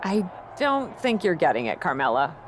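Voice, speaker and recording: A woman playing a blunt, proud young pro wrestler in a video game, dry and guarded, quick to bristle. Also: on the low side, very animated, slight background noise. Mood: firm, annoyed. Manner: dry, guarded, indignant when pushed. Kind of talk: video game cutscene acting.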